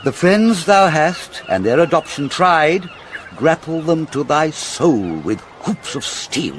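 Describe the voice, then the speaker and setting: A man's voice: fairly high pitch, very animated, takes it slowly, slight background noise. An actor with a British accent playing a pompous, fussy older father and counsellor, lecturing in classical Shakespearean style. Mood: bustling, urging. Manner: declamatory, sententious, lecturing.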